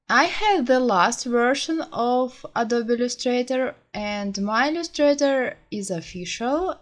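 A young woman with a Russian accent, teaching beginners graphic design software in friendly, simple English with small slips, from a small home office. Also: fairly high pitch, reverberant, recorded from a distance.

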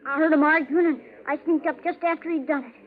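A woman's voice: high voice, fairly even intonation, fast talker, phone-quality sound, close to an everyday voice. A woman playing a shy, earnest frontier boy in a radio western, in hesitant, simple phrases. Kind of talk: 1950s radio western acting. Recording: thin 1950s radio, faint outdoor noise.

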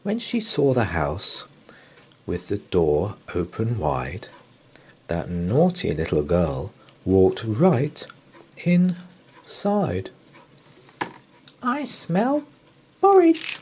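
A man reading a picture book aloud as a bedtime story, in an expressive, sing-song manner. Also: sits low, very slow, long pauses, husky. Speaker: children's story reader. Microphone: camera above a picture book.

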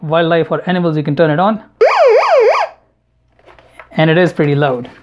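A man narrating an unboxing and product review in a measured, matter-of-fact way. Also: on the high side, fairly even intonation. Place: indoor room at home, at a table. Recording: home recording with clear background noise. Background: noise, handling clicks.

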